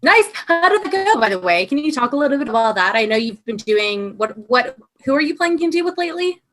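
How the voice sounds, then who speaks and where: A woman's voice: sits high, sing-song, fast, a bit bright, slight background noise. A woman tabletop player chatting and asking questions before the game. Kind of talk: casual chat on a live stream.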